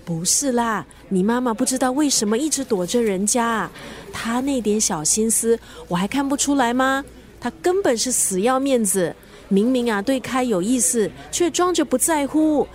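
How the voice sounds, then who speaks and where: A woman's voice: a little high, lively intonation, normal speed, close to an everyday voice. A woman acting in a radio drama as a teasing, bossy family friend who plays matchmaker. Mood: scheming, brisk.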